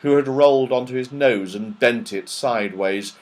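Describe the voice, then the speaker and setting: A man narrating a children's storybook in an expressive, dramatic, animated way. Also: mid-range voice, moderate pace. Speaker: audiobook narrator.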